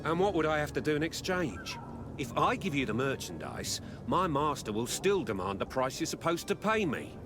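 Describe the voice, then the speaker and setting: Slippery servile game clerk, kinda whiny, highish voice swinging around a lot, music behind. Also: male voice, very animated, normal speed. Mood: cagey, bargaining. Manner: deferential, whiny, excuse-making. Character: evasive merchant's apprentice, servile, stubborn, slippery underling. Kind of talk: scripted video game dialogue.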